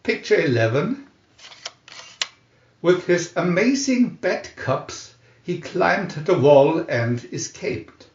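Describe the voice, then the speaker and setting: A man narrating a scripted storybook in a deliberate, theatrical announcing manner. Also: fairly high pitch, very animated. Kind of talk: dramatic scripted storybook reading. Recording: dull lo-fi, roomy echo.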